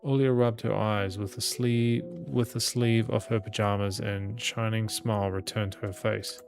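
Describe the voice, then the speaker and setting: A man narrates a visual novel playthrough in a very low, gravelly voice. He reads in a measured storytelling way, stumbling now and then, with game music clearly behind him. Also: steady tone, darkish tone. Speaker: gaming channel host. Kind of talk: reading aloud a visual novel during a playthrough.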